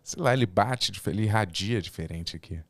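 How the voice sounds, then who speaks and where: A man in his forties with a Carioca accent, a podcast guest chatting in a relaxed, rambling way and often correcting himself mid-sentence, recorded on a boom mic in a small studio. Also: fairly quick, no room sound. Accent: Carioca Brazilian Portuguese. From born in Portugal, raised in Rio de Janeiro. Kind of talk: casual chat. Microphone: boom mic and headphones.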